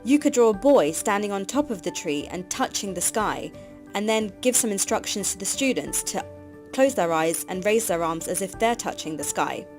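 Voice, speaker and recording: A woman giving scripted narration for a teacher training course, reading in an even, measured way. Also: medium pitch. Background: background music.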